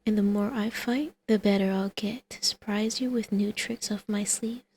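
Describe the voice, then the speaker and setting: A woman playing a teasing, flirtatious villainess in an intimate ASMR roleplay, with a creaky voice that often drops to a breathy whisper. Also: medium pitch, fairly even intonation, moderate pace, darkish tone, slight background noise, close to an everyday voice. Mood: seductive persuasion.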